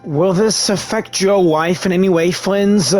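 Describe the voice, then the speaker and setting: A man with a high-pitched voice hosts a gaming video. He comments casually and talks back to the characters as if among friends. Faint music plays behind him. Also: steady tone, measured pace, darkish tone.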